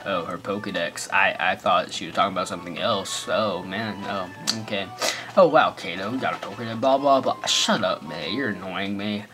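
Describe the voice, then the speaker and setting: A man's voice: deep voice, normal speed, pushed and bright. Joking American guy narrating gameplay. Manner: joking, rambling, sarcastic asides. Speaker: let's play gamer. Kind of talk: goofy let's play commentary. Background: faint game music.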